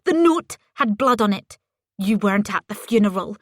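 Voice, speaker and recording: A woman voicing a spiteful older mother with a gravelly smoker's voice, mocking and gleeful as she taunts. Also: medium pitch, lively intonation, normal speed, no room sound, clean studio sound, lightly acted. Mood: indignant. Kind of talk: audiobook character voice.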